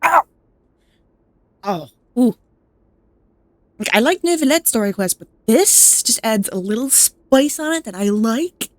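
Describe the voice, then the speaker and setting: A woman's voice: fairly high pitch, expressive, measured pace, long pauses, no echo. A woman giving casual gameplay commentary as a streamer, rambling, reactive and given to swearing. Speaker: game streamer. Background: game music.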